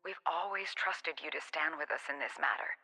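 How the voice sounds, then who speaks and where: A woman playing a composed, shrewd academy president in a video game, measured and wryly polite. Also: low voice, creaky, pushed and bright, big-room sound, heavily acted. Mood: grave, urgent.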